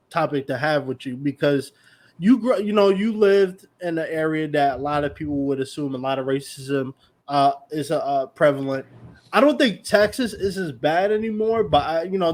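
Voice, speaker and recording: A man from New York hosting an interview, asking curious, rambling questions full of restarts and fillers. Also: a little high. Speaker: podcast host. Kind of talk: casual interview conversation.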